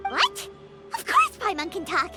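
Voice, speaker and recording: Squeaky little fairy sidekick, super high and bouncy, loud game music under it. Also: female voice, measured pace, exaggerated voice. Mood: indignant. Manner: high, squeaky, animated, talks of herself by name. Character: tiny floating fairy-like companion, chirpy and fussy.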